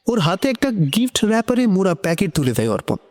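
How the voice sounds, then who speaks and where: Higher-pitched male story narrator. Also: sing-song, fast talker. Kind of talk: scripted emotional romantic narration.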